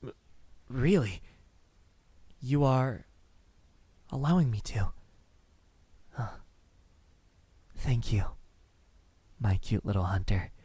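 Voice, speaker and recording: Guy doing a romantic vampire asmr roleplay, soft n teasing, really slow, kinda formal, super dry close sound. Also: medium pitch, expressive, slightly rough, no echo. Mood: earnest, flustered, then grateful, savoring. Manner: hesitant, soft-spoken, formal wording with lingering pauses. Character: lovestruck, teasing, courteous male vampire courting a hunter. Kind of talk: intimate ASMR roleplay acting.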